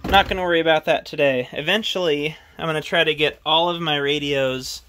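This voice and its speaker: A man's voice: on the high side, fairly even intonation, brisk, bright, forceful tone. A man casually showing off his radio gear at his desk, thinking aloud with frequent "uh"s as he handles the equipment. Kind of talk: casual hands-on demonstration.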